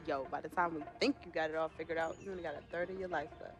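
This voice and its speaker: A woman's voice: low-pitched, steady tone, quick pace. A woman playing a playful, loyal young American sister in game dialogue, speaking in a slangy, teasing way. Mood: reflective. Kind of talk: naturalistic game cutscene dialogue.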